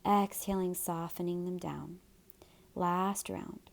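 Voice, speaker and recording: A woman teaching gentle yoga and guided meditation in a soft, soothing, breathy way. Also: on the low side, steady tone, slow pace.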